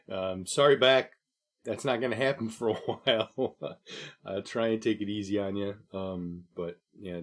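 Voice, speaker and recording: Deep-voiced laid-back man chatting. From Buffalo, New York. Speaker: podcast co-host. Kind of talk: casual chat.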